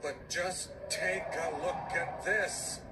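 An actor playing an earnest, alarmed cop in a horror video game, with stilted, overemphatic line readings. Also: male voice, sits high, fairly even intonation, takes it slowly, recorded from a distance, crowd noise. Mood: grave. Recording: roomy 1990s game audio over music.

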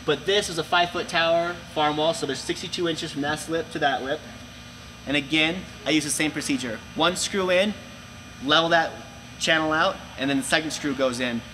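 Young man explaining a setup, bright voice. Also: fairly high pitch, normal speed, slight background noise, rough recording. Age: young adult. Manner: clear step-by-step explaining with hand gestures. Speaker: company product presenter. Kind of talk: instructional how-to video. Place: large indoor room with a gray wall.

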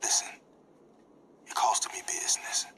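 A man's voice: unhurried, whispered, natural voice. A man playing a menacing young American gang leader in a video game, taunting, slangy and profane. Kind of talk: video game cutscene acting.

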